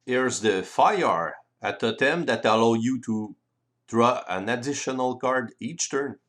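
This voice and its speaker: Male game-rules presenter, native French speaker with French accent, explanatory delivery, slightly halting phrasing, mid-range voice. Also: medium pitch, expressive, fairly bright tone. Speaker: board game designer and presenter. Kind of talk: explanatory tutorial voice-over.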